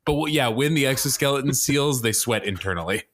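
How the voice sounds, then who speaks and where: A man's voice: medium pitch, animated, a little fast, a bit bright. A man playing a tabletop game, improvising casually as he describes what his character does.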